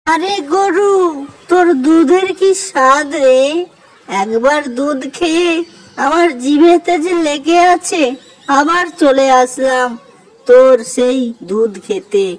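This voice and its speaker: Man doing a high-pitched sly greedy jackal. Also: slow pace, poor audio. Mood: gloating, greedy. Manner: gleeful, scheming, savouring his words. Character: sly, greedy male jackal who steals milk. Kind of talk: children's cartoon storytelling.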